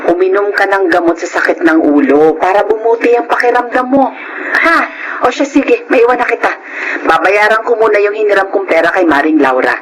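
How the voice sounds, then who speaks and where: A woman's voice: medium pitch, fairly quick, background noise, natural voice. An actress playing a carefree middle-aged mother in a Filipino radio drama, breezy and full of excuses. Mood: casual, solicitous.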